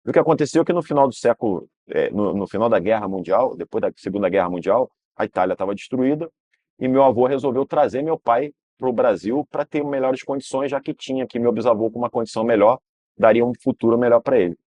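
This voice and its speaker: A man's voice: medium pitch, fast, darkish tone, no room sound. A Brazilian man, a guest in an interview telling long family stories, rambling and restarting with frequent tag questions. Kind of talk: relaxed storytelling interview.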